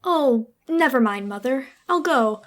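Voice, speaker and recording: Casting: adult woman for bitter teenage girl in radio drama; high voice, petulant, dramatic. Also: expressive, unhurried. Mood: sullen resignation. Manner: sulky, accusing, dramatic. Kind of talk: old-time radio melodrama acting.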